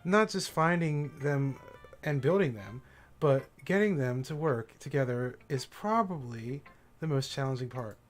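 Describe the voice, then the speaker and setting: A shy, bookish girl speaks in a halting way that settles into earnest explanation, as a man reads her lines. The pitch sits in the middle range. Faint music plays underneath. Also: animated, close to an everyday voice. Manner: hesitant, stammering, then earnest explaining. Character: shy bookish teenage girl, soft-spoken. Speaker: streamer voicing a game character.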